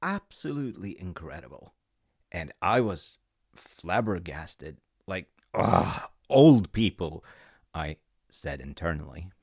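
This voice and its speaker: A man telling a gentle, rambling story as a comforting dad, with self-mocking humor, close to the mic. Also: low-pitched, sing-song, takes it slowly, gravelly, slightly rough, no room sound. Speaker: audio roleplay storyteller playing a dad.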